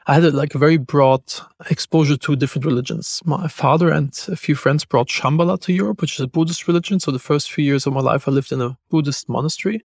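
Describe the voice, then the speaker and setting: A man's voice: on the high side, vocal fry, breathy edge, dry recording, clean studio sound. A man with a German accent hosting a podcast, talking in a casual, loose way with frequent restarts and fillers. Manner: fast, loose talk with many restarts and fillers. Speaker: podcast host.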